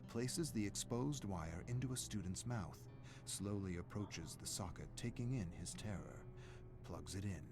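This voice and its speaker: Deep, brooding horror narrator. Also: male voice, expressive, measured pace. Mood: brooding, menacing. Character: brooding, menacing male narrator of a sadistic doctor's thoughts. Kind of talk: dark scripted horror story narration. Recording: music playing behind the voice.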